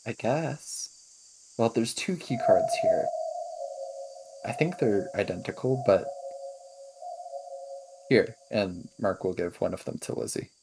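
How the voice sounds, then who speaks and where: A man's voice: on the low side, lively intonation, slow pace, pauses often, very noisy. A man improvising casually as a player in a tabletop roleplaying game.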